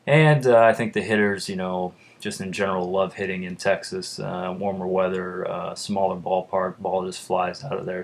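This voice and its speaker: A young man with a deep voice, chatting casually in a vlog and rambling with lots of fillers. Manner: rambling, lots of uhs and ums. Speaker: vlogger. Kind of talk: casual chat.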